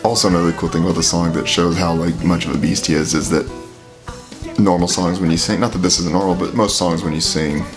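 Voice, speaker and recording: A man with a very deep voice giving casual, filler-heavy commentary while reacting to a song. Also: fairly even intonation, quick pace. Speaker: reaction video host. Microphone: headphones with mic. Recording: music playing under the voice. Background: music playing.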